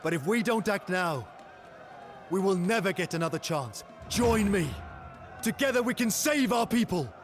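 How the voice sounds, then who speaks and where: An actor playing a passionate, grave war commander and devoted lover, narrating a game cutscene in a solemn, theatrical way. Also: male voice, high voice, animated, moderate pace, raised voice, some chatter behind. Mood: rousing, defiant. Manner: solemn, theatrical storytelling, intense. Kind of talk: dramatic scripted first-person game cutscene narration. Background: music.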